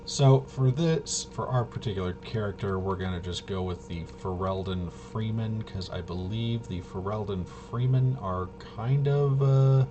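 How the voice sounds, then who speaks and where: A man hosting a tabletop game walkthrough, thinking aloud in a casual, halting way and muttering to himself. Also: lowish voice, music in the background. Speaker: host of a tabletop RPG video. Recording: noisy room, clearly audible background noise.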